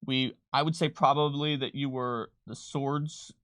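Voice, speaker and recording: Bright-voiced man thinking aloud. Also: medium pitch, fairly even intonation, unhurried, no room sound, clean studio sound. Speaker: game designer co-hosting a livestream. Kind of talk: casual tabletop game talk, thinking aloud while filling in a character sheet.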